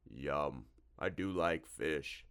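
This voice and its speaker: A man voicing a huge slimy storybook monster, slow-witted and easily spooked, with a drawn-out, ponderous delivery. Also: rumbling low, expressive, a bit bright, clean tone, voice pushed down, exaggerated voice. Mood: hungry, musing.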